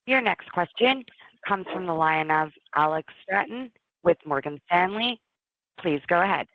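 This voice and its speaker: A woman's voice: lowish voice, expressive, measured pace, no room sound. A woman serves as operator on a conference call, delivering scripted announcements. The sound is slightly dull, like a telephone feed. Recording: dull telephone-quality audio.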